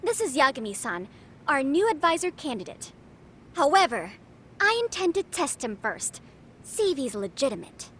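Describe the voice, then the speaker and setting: A woman's voice: high voice, very animated, moderate pace, fairly bright tone, a little hiss or hum. A woman playing a precocious, confident teenage girl detective in a video game, speaking with theatrical, formal self-assurance. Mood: poised, testing. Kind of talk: English video game voice acting. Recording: music behind the voice.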